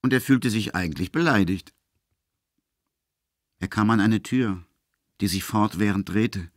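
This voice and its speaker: Male narrator for literary audio drama, lower register, dry close studio sound. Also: measured pace, no room sound. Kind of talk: scripted literary narration for a radio play.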